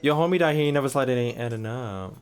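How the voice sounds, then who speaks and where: A man gives casual gameplay commentary as a streamer. His voice is medium in pitch and very lively. He talks bluntly and jokingly, with heavy slang and swearing. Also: fast, clean tone. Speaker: gaming streamer.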